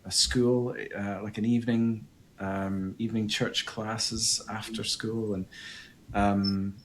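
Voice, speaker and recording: A man's voice: fairly low pitch, level tone, slow, slight background noise, rough recording. A man with a Scottish accent, a psychic medium guest speaking earnestly and reflectively on a video call. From Scotland. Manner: earnest, reflective, searching for words. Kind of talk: conversational interview over video call.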